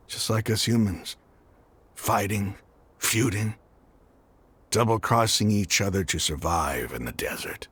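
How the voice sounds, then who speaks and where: A man's voice: on the low side, takes it slowly, long pauses, a bit bright, slight background noise. A man playing an embittered desert mercenary leader in a video game, speaking emphatically and with passion. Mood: anguished, bitter. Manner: emphatic, impassioned. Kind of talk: dramatic video game voice acting. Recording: background music under the voice.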